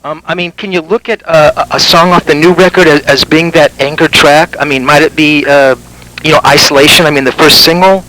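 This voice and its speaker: A man's voice: fairly high pitch, fairly even intonation, brisk, sharp tone, poor audio. A man interviewing a musician, asking questions hesitantly with many fillers.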